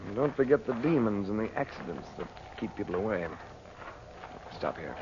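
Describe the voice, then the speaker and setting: A man playing a calm, cautious uncle in a 1940s radio adventure, speaking in a measured, commanding way. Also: on the low side. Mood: hushed, commanding. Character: calm, cautious uncle leading his young nephew through ruins. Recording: muffled, noisy old radio recording.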